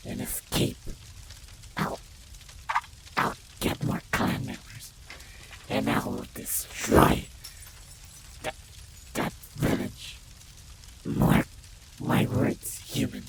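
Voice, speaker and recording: A man's voice: fairly low pitch, background noise, heavily acted. A man playing a menacing, vengeful feral vampire in an audio roleplay, stammering in broken, growled words. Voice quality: gravelly, creaky, breathy growl. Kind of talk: audio roleplay villain acting.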